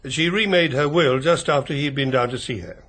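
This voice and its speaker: An English actor in a British radio drama playing a courteous older family solicitor with a formal, politely understated manner. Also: male voice, medium pitch, a little fast, a bit bright. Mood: polite, measured. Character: courteous, discreet older male family solicitor, dryly tactful.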